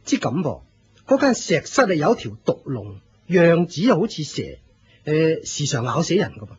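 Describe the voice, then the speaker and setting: An actor playing a proud, fervent leader of a fire cult in a Hong Kong Cantonese radio drama, declaiming with pompous authority. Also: male voice, a little high, sing-song, moderate pace, a little mellow, slightly rough, muffled recording, exaggerated voice. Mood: authoritative.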